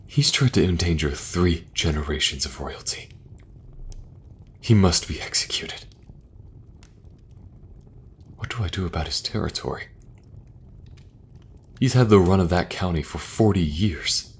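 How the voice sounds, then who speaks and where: A man playing a weary, dutiful king in a fantasy audio roleplay, with a grave, deliberate, regal manner. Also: low voice, expressive, slight background noise. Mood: troubled, stern.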